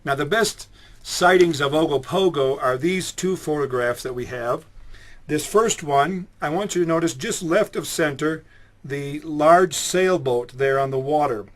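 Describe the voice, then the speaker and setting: A man's voice: fairly high pitch, a little slow, lightly acted. A man voicing an earnest male believer who explains his claims about lake monsters.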